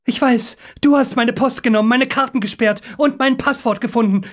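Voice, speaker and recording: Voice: mid-range voice, normal speed, natural voice. A narrator voicing a terrified captive man in an audiobook, begging urgently and crying for help. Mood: pleading.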